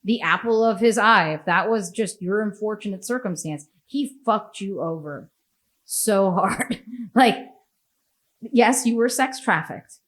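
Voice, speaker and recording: A woman's voice: medium pitch, measured pace, a bit bright. An American woman hosts a casual podcast chat. She talks bluntly, swears freely and breaks into laughter often. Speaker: podcast host.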